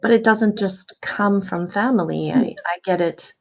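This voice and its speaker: A woman in a casual conversation, speaking gently and supportively and asking questions. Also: medium pitch, a little slow, creaky, poor audio. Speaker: friend and co-guest.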